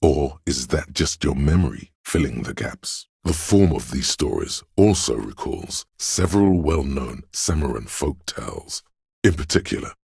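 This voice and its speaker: An extremely deep male voice plays a know-it-all encyclopedia living inside someone's head in a video game. It is heavily gravelled and very husky. The delivery is measured, learned and lecturing, like scripted narration. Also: expressive, a little mellow, dry recording, clean studio sound, full character voice. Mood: musing, pedantic.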